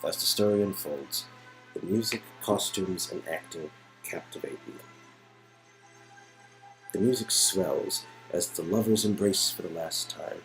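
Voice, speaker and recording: A man reading expressive second-person narration for an interactive story game. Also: low-pitched, a little slow, pauses often, faint music behind, poor audio. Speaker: narrator and player character reader.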